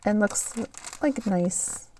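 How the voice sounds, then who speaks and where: A woman chatting casually as she shows off thrift store finds, her voice getting a little raspy. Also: mid-range voice, lively intonation, darkish tone, slight background noise. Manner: slow, unhurried, chatty with fillers. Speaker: thrift haul vlogger. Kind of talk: casual haul show-and-tell.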